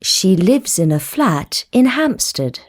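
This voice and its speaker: A woman's voice: mid-range voice, sing-song, takes it slowly, no room sound, studio quality. A woman reading English course dialogues and lesson titles in a careful teaching manner. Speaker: language course narrator and dialogue reader. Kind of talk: slow, clearly read textbook dialogue.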